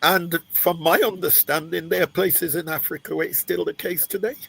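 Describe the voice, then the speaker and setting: A man's voice: on the high side, steady tone, normal speed, vocal fry, sharp tone, slightly rough. A man originally from Jamaica speaking on a panel, hesitant, often searching for words and restarting. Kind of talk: panel discussion.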